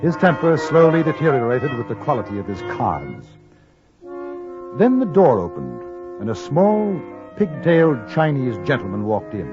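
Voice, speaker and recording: An actor playing a polite English newspaperman who narrates a 1950s radio western in a courteous, measured, well-spoken English accent. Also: mid-range voice, huge pitch swings. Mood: measured narration. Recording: muffled 1950s radio recording with music.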